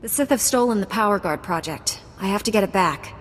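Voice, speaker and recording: An actress playing a calm, confident young Jedi Knight heroine in a video game, composed, earnest and assured. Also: female voice, mid-range voice, a little fast, a little hiss or hum, lightly acted. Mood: determined.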